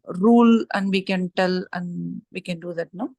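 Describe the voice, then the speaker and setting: A woman's voice: on the low side, normal speed, creaky, mellow, no echo. A woman with an Indian accent casually discussing and asking questions as a participant in an online class. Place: online video call.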